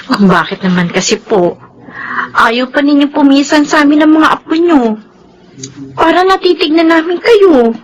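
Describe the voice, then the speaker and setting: A middle-aged woman speaks in a somewhat high, gentle voice. She is respectful and earnest, with faint noise behind her. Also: expressive, moderate pace, pauses often, rough recording. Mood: gently reproachful. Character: patient, caring middle-aged daughter-in-law and mother. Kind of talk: radio drama acting.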